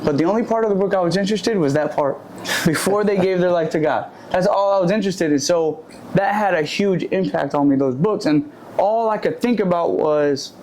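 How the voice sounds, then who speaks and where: A young man, raised in Puerto Rico, talks through his life story in a loose, candid way, often stopping to correct himself. His voice sits a little high for a man. A faint hum of room ambience stays under him. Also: fairly quick, darkish tone. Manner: candid, rambling, self-correcting. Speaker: guest, barber and shop owner. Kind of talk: casual interview storytelling. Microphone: handheld or shared mic.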